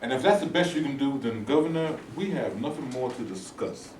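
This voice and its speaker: A stern, righteous reverend, played by a man in a staged play reading. His delivery is solemn and preacherly, and his pitch rises and falls widely. The sound is roomy and distant, with faint background noise. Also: lively intonation, a little fast, lightly acted. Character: stern, righteous male reverend and protest leader. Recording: roomy hall from a distant mic.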